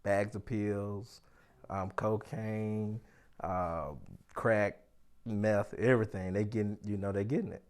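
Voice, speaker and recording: A man's voice: lowish voice, steady tone, slow pace, darkish tone, smooth. A man speaking candidly in an interview as a former officer, colloquial and emphatic. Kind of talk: candid, informal interview talk.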